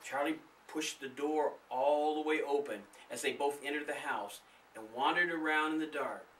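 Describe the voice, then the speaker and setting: A man's voice: fairly high pitch, moderate pace, fairly bright tone, a little hiss or hum. A man reading his own spooky story in a dramatic storytelling style. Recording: echoey room, distant microphone.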